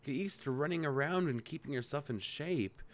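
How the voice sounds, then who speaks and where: A man's voice: fairly high pitch, lively intonation, moderate pace, close to an everyday voice. A man voicing a practical, hardworking young woman from a farm, speaking in a matter-of-fact way.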